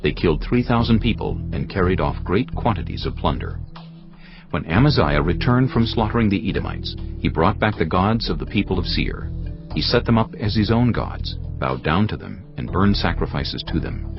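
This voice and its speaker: A man narrating a dramatised scripture reading in a measured, solemn way. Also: low voice, animated, gravelly. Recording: slightly muffled with soft music.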